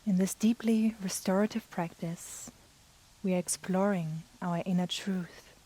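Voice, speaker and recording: A woman guiding a yoga nidra meditation in a soft, breathy, soothing manner with long pauses. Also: lowish voice, rough recording. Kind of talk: guided meditation.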